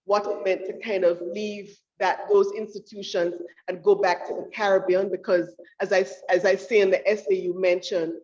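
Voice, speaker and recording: A woman's voice: medium pitch, level tone, darkish tone. Thoughtful woman in a roomy hall. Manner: thoughtful, halting, many restarts and fillers. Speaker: featured author at a book talk. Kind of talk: academic talk about her research. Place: university lecture room. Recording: roomy, dull room audio.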